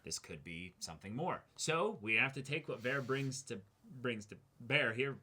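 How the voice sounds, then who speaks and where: A man with a bright, forward voice at an ordinary middle pitch. He talks as the co-host of a reaction show, casually picking apart an anime episode. His phrasing is wordy and analytical. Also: animated, normal speed, breathy edge.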